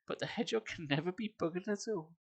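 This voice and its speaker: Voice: mid-range voice, fast, breathy edge, no room sound. A streamer reading comic verse live in an amused, lilting singsong, with chatty asides.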